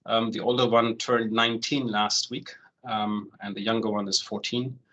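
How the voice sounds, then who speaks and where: A man in his forties who grew up in Germany, a home loan consultant giving an online presentation in a relaxed way, full of "um" fillers and the tag "right?". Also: on the low side, normal speed, lo-fi sound. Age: 40s. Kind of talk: online presentation, walking through steps.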